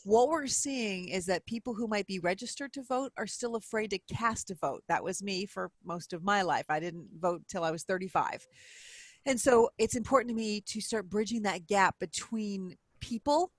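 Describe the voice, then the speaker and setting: A woman's voice: mid-range voice, moderate pace, no echo. Middle-aged woman guest, upbeat explainer, dry close sound. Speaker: guest author. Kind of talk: interview talk.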